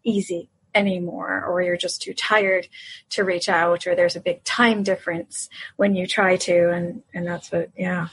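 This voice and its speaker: A woman's voice: fairly low pitch, flat delivery, slight background noise, poor audio. A woman hosting a podcast, chatting casually and reacting readily to her guest. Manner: chatty, quick to laugh and react. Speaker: podcast host. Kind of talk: casual interview chat.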